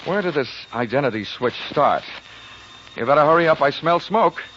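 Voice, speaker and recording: An American actor playing a wisecracking, sardonic, tough-talking private investigator in a 1940s radio drama. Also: male voice, a little high, animated, normal speed, fairly bright tone, slight background noise. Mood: taunting, pressing. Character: brash wisecracking hard-boiled male private investigator. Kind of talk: 1940s radio acting, first-person detective narration. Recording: dull lo-fi 1940s radio recording, music.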